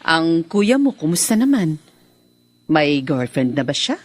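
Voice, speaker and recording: An actress playing a defiant, manipulative wife in a Filipino radio drama, haughty and domineering, with a Filipino accent. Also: female voice, low-pitched, big pitch swings, measured pace, heavily acted. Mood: sweetly inquisitive. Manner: haughty, scolding.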